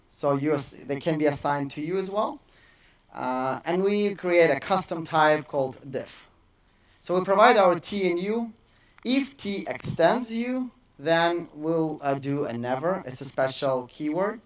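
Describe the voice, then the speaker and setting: A man in his thirties gives a technical keynote in a large dark auditorium. His voice sits slightly high, and he talks casually with frequent fillers and restarts. The sound comes from some distance off the mic. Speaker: conference speaker.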